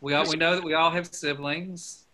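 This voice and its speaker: Bright-voiced pastor leading a group call. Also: male voice, on the high side, fairly even intonation, slight background noise, low-quality recording. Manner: friendly, asks quick questions, laughs often. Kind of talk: casual group facilitation on a video call.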